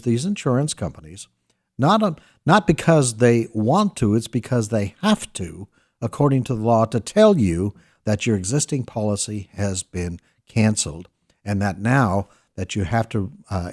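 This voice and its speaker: A man's voice: lowish voice, a little mellow. An American man hosting talk radio, delivering a news monologue emphatically, repeating key phrases and putting questions straight to his listeners. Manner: addresses listeners directly with rhetorical questions. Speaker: radio talk show host. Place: radio studio.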